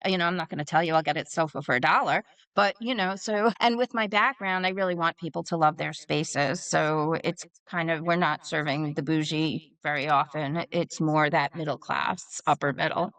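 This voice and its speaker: A woman with a Long Island accent talks chattily as a podcast guest and fills her sentences with loose fillers. Her voice sits fairly low and sounds distant from the microphone. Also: steady tone, normal speed, a bit bright. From Long Island, New York. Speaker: interior designer and therapist guest. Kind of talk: casual panel chat. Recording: voice distant from the mic.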